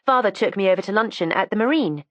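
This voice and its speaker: A woman's voice: medium pitch, expressive, fast, no echo, muffled recording, close to an everyday voice. A woman reading the part of a precocious, matter-of-fact teenage schoolgirl who chats candidly and primly. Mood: chatty. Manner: chatty, candid and prim.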